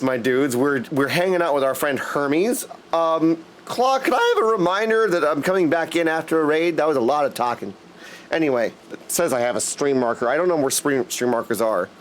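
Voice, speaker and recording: A man's voice: on the high side, expressive, normal speed, slight background noise. An exuberant, slangy man chatting casually with viewers as he livestreams and comments on a game. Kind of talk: casual live stream chat and game commentary. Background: game music.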